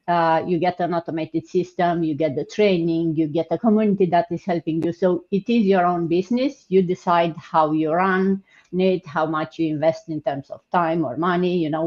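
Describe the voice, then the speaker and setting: A woman hosts a live online business panel in a relaxed, chatty way. Her voice sits fairly low. The video call audio is muffled and lo-fi. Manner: relaxed, chatty, with filler words. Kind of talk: casual presenting. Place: home, in Ontario.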